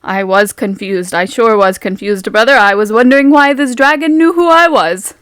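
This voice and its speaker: A woman playing a polite, puzzled nobleman, an older brother, in a tabletop game, in a formal, measured manner. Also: fairly high pitch, fairly bright tone, clean tone, dry recording, studio quality, voice pushed down, natural voice.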